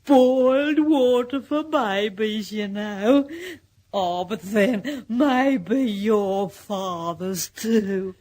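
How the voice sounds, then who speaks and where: An actress in an old-time radio drama playing a scatterbrained, naive older babysitter, rambling in a folksy Australian accent. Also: female voice, mid-range voice, drawn-out words, a little mellow. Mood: chatty, friendly.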